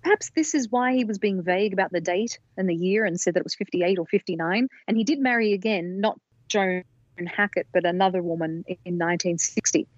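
A woman co-hosting a podcast, explaining research in a measured storytelling way on a slightly dull, lo-fi recording. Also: medium pitch, no echo. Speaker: podcast co-host presenting research.

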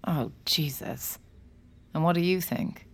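A woman's voice: low-pitched, slow, slight background noise. An actress playing a guarded, nervy woman with a secret, in hesitant, understated audio drama style. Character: guarded, nervy adult woman, secret mind reader, wry. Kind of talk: audio drama acting, intimate narration and dialogue.

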